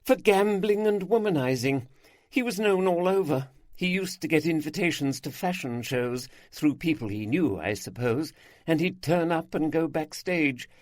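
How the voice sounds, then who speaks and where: A man's voice: a little high, expressive, normal speed, a little mellow, dry recording, clean studio sound, pitched above the performer's own voice, natural voice. A man voicing a polite, refined woman in her mid-forties with a Home Counties accent. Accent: English Home Counties.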